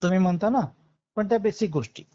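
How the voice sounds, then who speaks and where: Mellow but persistent woman, dull call audio. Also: mid-range voice, fast. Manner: challenging, persistent. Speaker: questioner. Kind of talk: informal debate. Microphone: recorded phone call. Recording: dull, dry lo-fi call recording.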